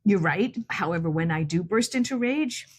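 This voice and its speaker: A woman co-hosting a podcast from her hospital bed, talking casually in a deliberately quiet voice. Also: on the low side, lively intonation, breathy edge. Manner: hushed quiet voice. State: recovering patient in bed. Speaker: podcast co-host. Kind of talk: casual chat. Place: hospital room. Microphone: camera setup at bedside.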